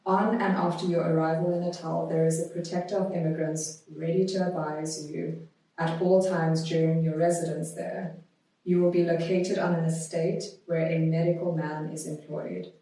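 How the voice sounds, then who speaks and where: A woman's voice heard in a strongly reverberant room, some distance from the microphone. She has a soft, mellow tone and speaks as a podcast guest, measured and deliberate, as in conversation or reading aloud. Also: deep voice, little pitch movement. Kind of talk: conversational interview, reading a historical notice aloud.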